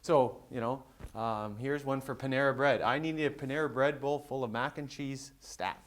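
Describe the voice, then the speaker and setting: A man giving a conversational business presentation at a conference, explaining with quick everyday examples. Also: mid-range voice, steady tone. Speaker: conference speaker.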